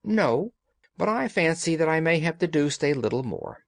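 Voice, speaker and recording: Guy doing a storybook detective, commanding and exact, very formal, pitch moves around a lot, dry sound. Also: very animated, moderate pace, vocal fry, no echo. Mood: confiding, deductive. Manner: precise, authoritative, formal. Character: commanding, analytical gentleman detective. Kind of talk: audiobook character dialogue.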